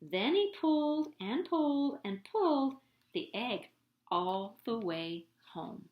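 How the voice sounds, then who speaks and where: A woman reading a children's picture book aloud as a storyteller, with dramatic, deliberate emphasis, recorded in an echoey room. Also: on the high side, big pitch swings, highs cut off.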